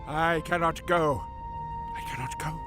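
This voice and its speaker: An actor playing a flustered young Time Lord with an old man's manner, halting and fussy in an audio drama. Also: a little high, steady tone, over music, lightly acted. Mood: anguished, reluctant. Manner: hesitant, halting, fussy, adding "hmm?" and "my dear".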